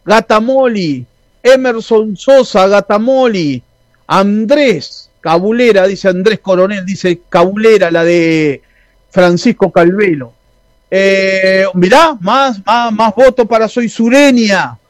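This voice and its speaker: A man's voice: high voice, slow pace, no room sound. A man with a Uruguayan accent hosting a radio show, chatting casually and reading listener messages with many fillers and restarts. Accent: Uruguayan Spanish. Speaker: radio host.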